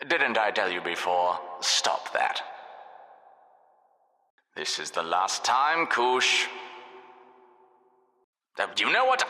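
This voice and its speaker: Smug, sadistic lab overseer, wildly swooping. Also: male voice, medium pitch, full character voice. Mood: scolding, then exasperated. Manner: mock-polite, sarcastic, theatrically expressive. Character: smug, sadistic male test-lab overseer taunting his subject. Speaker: game narrator. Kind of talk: scripted video game narration. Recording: echoey, reverberant hall sound.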